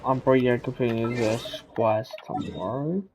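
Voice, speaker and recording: A man's voice: medium pitch, steady tone, normal speed, noisy background. A man giving casual, rambling commentary while playing a game, with muttered asides. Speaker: gaming video host.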